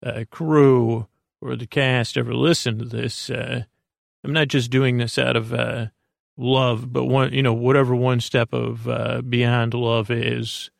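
A man with a creaky voice giving a lulling, meandering, halting bedtime podcast monologue as host, in a self-deprecating way. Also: medium pitch, level tone, no echo, clean studio sound. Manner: lulling, soothing.

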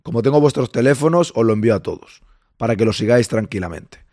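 A man with a Spain Spanish accent hosting a casual livestream, a bit hoarse, chatting with viewers and greeting them by name. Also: medium pitch, lively intonation, fast, warm tone, no echo, studio quality. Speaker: livestream host. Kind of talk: casual live chat with viewers.

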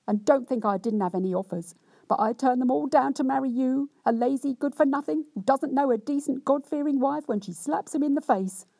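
A woman playing a shrill scolding young wife. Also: husky, no room sound. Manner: loud, indignant and sweary tirade.

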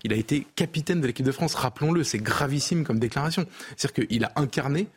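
A fast-talking man with a husky voice argues in a heated panel debate. He is emphatic and keen to interject, speaking as a commentator at a mid pitch.

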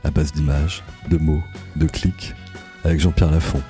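A man's voice: a bass voice, level tone, measured pace, warm tone, soft background music. A man hosting a podcast, summing up his guest's ideas and closing the episode. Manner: thoughtful, sums up guest's ideas. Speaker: podcast host. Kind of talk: interview and show outro.

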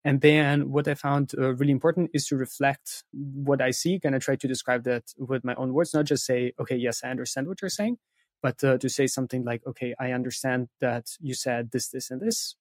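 Male interview guest, mid-pitched, closely recorded with no room sound, explains step by step with frequent check-ins. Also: brisk, no room sound, studio quality. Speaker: interview guest, content writer who interviews experts.

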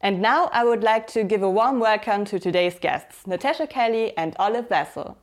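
A woman's voice: mid-range voice, moderate pace. A woman hosting a podcast who reads a scripted introduction and then asks her guests questions. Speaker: podcast host.

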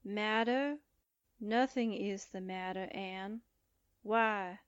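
A woman's voice: mid-range voice, clean tone, lo-fi sound, lightly acted. An actress playing a hypnotized young newspaperwoman in a 1940s-style radio drama, speaking blankly and obediently as if in a trance. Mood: blank, detached. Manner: very slow, blank, trance-like, echoing commands.

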